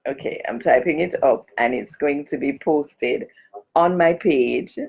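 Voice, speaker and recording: A woman's voice: low voice, measured pace, creaky, darkish tone, a little hiss or hum. A woman from Jamaica chatting casually on a live stream as a cultural advocate, greeting and speaking straight to her viewers. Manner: chatty, greets and addresses viewers directly, gives blessings.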